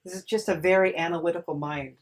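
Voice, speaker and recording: A woman's voice: on the low side, steady tone, quick pace. A woman interviewing casually as a podcast host, in a hesitant, encouraging manner.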